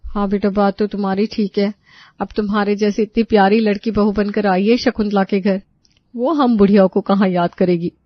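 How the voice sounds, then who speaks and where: A woman's voice: mid-range voice, steady tone, moderate pace, lo-fi sound, close to an everyday voice. A woman voicing a gossipy, dramatic older mother-in-law type in an acted audio story. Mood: friendly, curious. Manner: complaining, dramatic. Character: exasperated, gossipy older Indian mother-in-law and her aunty friend.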